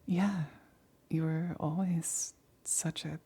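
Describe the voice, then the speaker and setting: Woman acting a breathy, whispering, anxious lab assistant. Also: low-pitched, steady tone, dark tone, full character voice. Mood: woozy, affectionate. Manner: halting, hesitant, stammering. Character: anxious, lonely female lab assistant growing woozy and clingy. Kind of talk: ASMR roleplay, soft intimate whispering.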